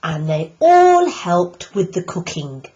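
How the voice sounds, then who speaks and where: Woman teacher reading a storybook aloud, lowish voice, takes it real slow. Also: very animated, dark tone, muffled recording. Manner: slow, deliberate reading with emphatic pauses. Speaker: teacher reading a picture book.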